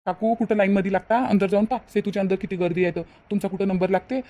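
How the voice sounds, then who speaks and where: A woman's voice: mid-range voice, steady tone, fast, warm tone, lightly acted. A woman voicing a sly young male swindler in a Marathi cartoon, with a rural Vidarbha accent and pushy, reassuring patter. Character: sly young male con man, persuasive, falsely reassuring. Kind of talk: Marathi cartoon moral-story dialogue.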